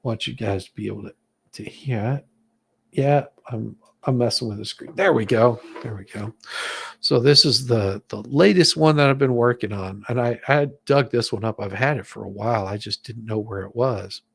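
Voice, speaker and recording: A man's voice: medium pitch, normal speed, gravelly, slightly rough. A man chatting casually on a livestream in a rambling, hesitant way as the host.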